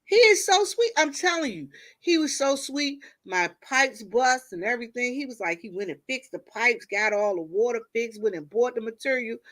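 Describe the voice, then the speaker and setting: Woman hosting, high and bright, tells stories all animated. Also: moderate pace, hardly any pauses. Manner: animated storyteller, acts out quotes, laughs. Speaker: host. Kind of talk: casual storytelling chat.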